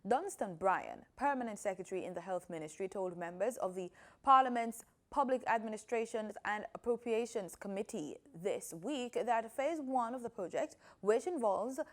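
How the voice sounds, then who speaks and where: A woman's voice: a little high, lively intonation, moderate pace. A woman presenting scripted news as a news reader.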